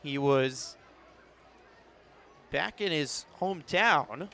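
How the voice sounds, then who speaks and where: An American man doing sports play-by-play commentary, measured between plays and rising to excited calls. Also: a little high, gravelly, some chatter behind.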